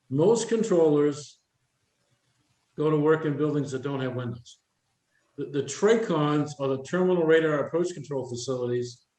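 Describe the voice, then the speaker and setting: A man's voice: a little high, a little mellow. Echoey older man presenting. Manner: informal, instructive, pausing to quiz listeners. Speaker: presenter, retired air traffic controller. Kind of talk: career workshop presentation, explaining with questions to the audience. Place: indoor room with a live audience. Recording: roomy, echoing presentation room sound.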